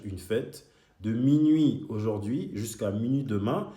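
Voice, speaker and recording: A man's voice: medium pitch, warm tone. A young man who grew up in Switzerland, speaking straight to his critics in a heated, argumentative monologue. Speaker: video creator. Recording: echoey room.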